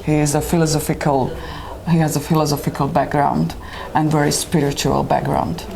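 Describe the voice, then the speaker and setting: A woman from Slovakia whose first language is Hungarian, a guest poet answering interview questions with many pauses and restarts. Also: low-pitched, flat delivery, creaky, over music. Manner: hesitant, many fillers and restarts. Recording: noisy room ambience.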